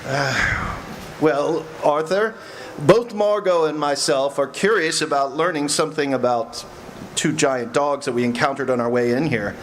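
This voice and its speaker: An actor playing a determined gentleman sleuth in an old-time radio drama, measured, earnest and suspenseful. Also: fairly high pitch, a little hiss or hum. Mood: questioning. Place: live stage performance in a cafe.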